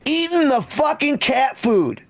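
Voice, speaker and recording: High-pitched gravelly male stand-up comic. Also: sing-song, measured pace, no room sound. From Michigan, United States. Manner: conversational, profane, repeats and restarts phrases. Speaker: stand-up comedian. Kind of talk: stand-up comedy routine.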